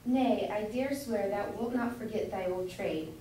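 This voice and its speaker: A woman's voice: mid-range voice, measured pace, close to an everyday voice. A young woman acting a chatty, doting, meddling citizen's wife who gushes and interjects in a staged reading of a Jacobean comedy. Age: young adult. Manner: gushing, interjecting. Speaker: student actor. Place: indoor room, far from the mic. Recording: distant voice, very echoey room, faint noise.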